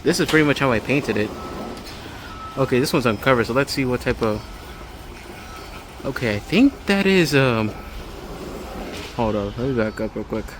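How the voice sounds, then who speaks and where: A man's voice: medium pitch, animated, normal speed, pauses often, background noise. A man narrating a casual walkthrough of a large store, thinking aloud in a rambling, observational way. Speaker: vlogger. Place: inside a large home improvement store. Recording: music playing in the background. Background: store music from overhead speakers.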